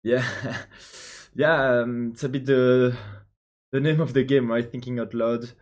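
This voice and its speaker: A young man from Belgium with a French accent, thinking out loud while coding, hesitant with many pauses and restarts. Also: medium pitch, steady tone. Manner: hesitant, many ums and restarts, answers chat questions. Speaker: programmer live-streaming his coding.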